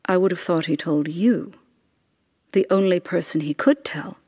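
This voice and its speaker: An actress playing a proud, desperate middle-aged mother in a radio drama, speaking in halting, deliberate, insistent phrases. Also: on the low side, dark tone, slightly rough, dry recording, studio quality.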